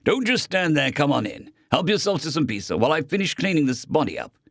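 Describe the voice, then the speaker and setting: A man's voice: fairly high pitch, big pitch swings, fast, vocal fry, no echo, clean studio sound, voice pushed up, heavily acted. A man voicing a gleeful, gluttonous alien parasite living in a human body, flippant and foul-mouthed, in an audio story. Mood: breezy, casual. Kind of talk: first-person audio story dialogue.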